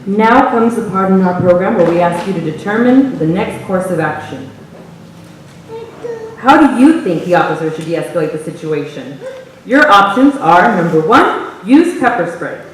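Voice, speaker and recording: A woman's voice: lowish voice, lively intonation, normal speed. A woman hosting and narrating a staged reading with scripted stage narration. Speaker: narrator and audience host. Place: theater hall, recorded from the audience. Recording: roomy, distant stage recording with faint noise.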